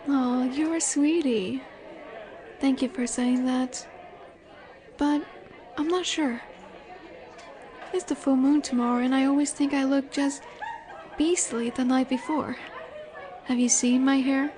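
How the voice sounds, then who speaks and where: A woman's voice: sits high, fairly even intonation, mellow. A woman playing a confident, flirtatious werewolf alpha in a roleplay audio drama, teasing and seductive in her delivery. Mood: coy, teasing. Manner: teasing, seductive, slow and assured. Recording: bar chatter behind the voice. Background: bar ambience with crowd murmur.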